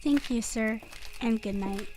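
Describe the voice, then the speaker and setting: A gentle, earnest young girl played by a female actor, with a fairly high voice. She speaks politely in careful, old-fashioned phrases over soft music, in the manner of a storybook audio drama. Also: measured pace, close to an everyday voice, rough recording. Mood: polite, questioning.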